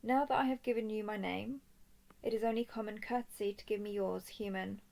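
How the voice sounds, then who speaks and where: A woman playing a young royal dragon in a roleplay audio drama, speaking formally, softly and deliberately as a composed, curious captor. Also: medium pitch, steady tone, vocal fry, close to an everyday voice. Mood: formal, probing. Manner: formal, deliberate, soft-spoken.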